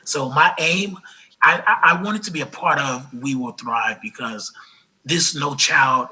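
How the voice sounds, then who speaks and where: A man with a noticeably raspy, husky voice that still cuts through bright and forward. He talks earnestly and gratefully as an interview guest, restarting phrases as he goes. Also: mid-range voice, a little slow, low-quality recording. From Los Angeles. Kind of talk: casual interview talk.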